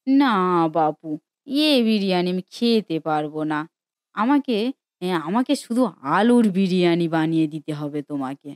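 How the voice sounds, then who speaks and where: A woman's voice: lowish voice, lively intonation, moderate pace, darkish tone, no echo, clean studio sound, natural voice. A woman voicing a fussy, insistent old granny with folksy speech in a cartoon story. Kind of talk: Bengali cartoon story voice acting.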